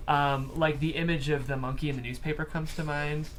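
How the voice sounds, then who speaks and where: A man's voice: a little high, fairly even intonation, fairly quick, a bit bright, a little hiss or hum. A man hosting a casual interview, speaking conversationally as he asks questions and comments. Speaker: talk show host.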